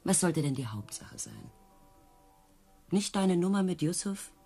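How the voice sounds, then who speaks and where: An actress playing a mocking, discontented circus trick rider in a radio play, with a dry sardonic manner. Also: female voice, fairly low pitch, expressive, unhurried, warm tone, husky, soft background music, heavily acted. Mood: teasing, calculating.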